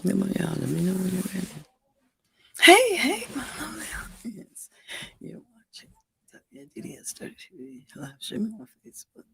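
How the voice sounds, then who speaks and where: A hoarse, raspy-throated host of a casual livestream show, repeating station call-outs and coaxing viewers to like and subscribe. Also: medium pitch, wildly swooping pitch, moderate pace, noisy background.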